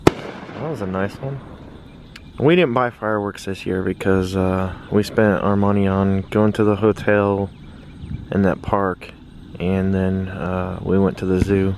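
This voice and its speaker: Slow-talking, low-voiced American man. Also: fairly even intonation, darkish tone. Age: 30s. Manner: laid-back, halting, thinking aloud. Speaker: radio hobbyist, vlogger. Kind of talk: casual rambling vlog. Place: small backyard shed. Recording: noisy shed, fireworks in distance. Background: background noise, distant fireworks popping.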